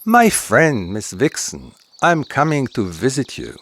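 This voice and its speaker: A man's voice: medium pitch, wildly swooping pitch, unhurried, fairly bright tone, voice pushed up, full character voice. A man voicing a friendly, chatty male rabbit in a folktale, with a sing-song manner. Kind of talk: storybook dialogue.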